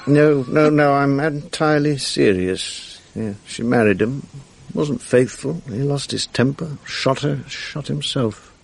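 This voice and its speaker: A man's voice: medium pitch, measured pace, gravelly. An actor playing a world-weary, middle-aged upper-class Englishman in a radio drama, with an English accent and a wry, urbane, self-mocking manner. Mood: sombre. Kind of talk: 1980s British radio drama acting, intimate naturalistic dialogue. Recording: faint noise on archive radio recording.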